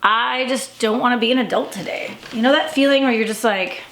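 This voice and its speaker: A woman's voice: on the high side, fairly quick, fairly bright tone, echoey room, slight background noise. A woman talking to camera in a casual daily vlog, rambling with many fillers and restarts, a little stuffy and congested. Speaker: vlogger and coach.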